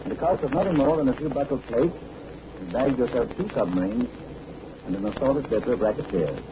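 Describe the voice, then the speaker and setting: A man playing a confident gentleman adventurer captain with a crisp, assured way of explaining, in 1940s radio acting. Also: medium pitch, brisk, natural voice. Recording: muffled, noisy 1940s radio broadcast.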